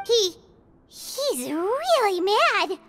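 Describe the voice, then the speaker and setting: A little fairy companion from a video game, chattering in an extremely high, childlike voice. Her pitch leaps about in a lively, naive way. Music plays behind her in a reverberant space. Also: very slow, full character voice. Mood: awed, nervous. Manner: refers to self in the third person.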